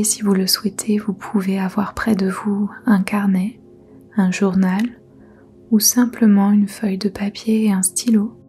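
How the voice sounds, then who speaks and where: Slow, soft-spoken woman guiding meditation. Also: medium pitch. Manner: soft, breathy, near-whispered delivery; soothing, gentle, unhurried instruction. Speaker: yoga nidra guide. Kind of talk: guided meditation.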